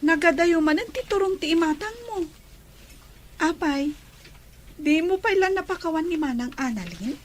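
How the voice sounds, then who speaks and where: Very high-voiced, caring younger sister acted by woman. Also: lively intonation, moderate pace, pauses often, slight background noise. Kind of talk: dramatic acting in an audio romance drama.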